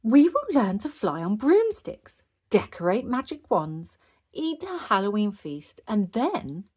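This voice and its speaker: A woman's voice: fairly high pitch, sing-song, takes it slowly, a little mellow, breathy edge, voice pushed up, exaggerated voice. A woman voicing an enthusiastic female animal playgroup teacher in a storybook, theatrical and encouraging. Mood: building excitement.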